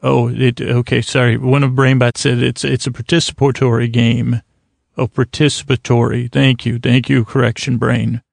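A man hosting a bedtime podcast in a meandering, halting, self-deprecating monologue that stammers and drifts into digressions. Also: mid-range voice, level tone, gravelly, dry recording, clean studio sound. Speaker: podcast host. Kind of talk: rambling bedtime monologue to help listeners fall asleep.

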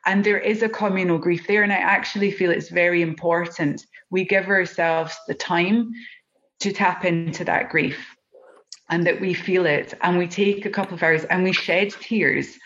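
A woman's voice: fairly low pitch, a bit bright. Earnest Scottish woman, muffled sound. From Scotland. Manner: earnest, heartfelt, many ums and restarts. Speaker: project organiser guest. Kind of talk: podcast conversation.

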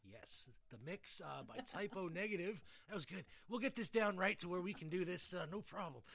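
A man's voice: high voice, animated, brisk, gravelly, fairly bright tone. A middle-aged man hosting a podcast in casual chat, rambling and often repeating his words. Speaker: podcast host.

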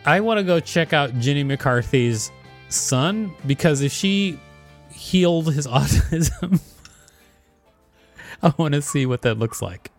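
A man's voice: fairly high pitch, expressive, slow pace, long pauses, faint music behind. A man co-hosting a podcast, reading an article aloud and discussing it casually. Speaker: podcast co-host.

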